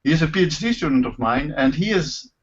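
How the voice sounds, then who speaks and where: A man hosting an informal live online discussion as a professor, speaking haltingly and rephrasing as he goes over a video call. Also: fairly high pitch, animated, slightly rough. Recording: muffled lo-fi video call audio.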